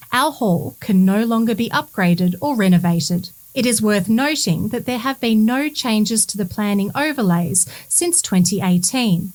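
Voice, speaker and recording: A woman reads a community bulletin story as scripted narration in a measured, formal way. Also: mid-range voice. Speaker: reader of community bulletin stories. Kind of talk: scripted news narration, read aloud.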